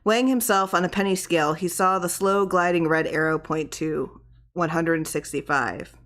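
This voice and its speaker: A woman with a slightly low voice narrates a horror short story. She reads from the text as an audiobook reader, telling it in scripted prose. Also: moderate pace, a bit bright.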